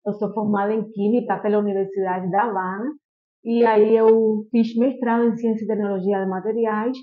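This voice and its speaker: A woman from Cuba with a Cuban Spanish accent, a chemistry professor giving measured, explanatory answers full of small fillers as a podcast guest. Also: medium pitch. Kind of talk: podcast interview. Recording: tinny phone-like line.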